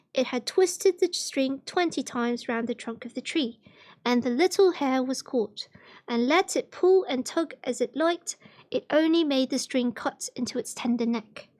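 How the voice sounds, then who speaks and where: A woman reading fairy tales aloud on a livestream in an expressive storybook manner. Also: sits high, unhurried.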